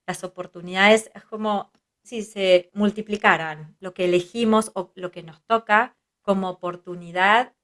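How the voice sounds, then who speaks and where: An Argentine-accented woman talks through her ideas in a calm, reflective way, stringing thoughts together and checking in with little tag questions. Her voice sits at an ordinary middle pitch, and she speaks as a psychologist guest whose first language is Hebrew. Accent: Argentine Spanish. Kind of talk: reflective interview conversation.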